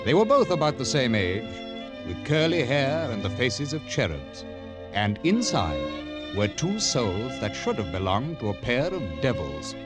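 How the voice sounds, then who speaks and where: A man's mid-pitched, very animated voice over music a British war correspondent. He narrates with dramatic relish in a 1940s radio drama. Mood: wry, dramatic recollection. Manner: dramatic, measured storytelling. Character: British war correspondent recalling his frontier adventures. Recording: vintage 1940s radio recording.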